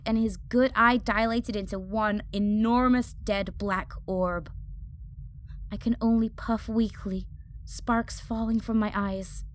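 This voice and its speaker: A woman's voice: a little high, a bit bright, a little hiss or hum. A woman playing a hot-headed young female fire mage, narrating an audio drama in the first person with intense, clipped phrases.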